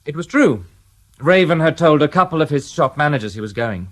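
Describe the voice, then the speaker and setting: An actor playing a weary, dry-witted police detective inspector in a radio drama. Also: male voice, a little high, animated, fairly quick, fairly bright tone. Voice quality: dry, understated, wry.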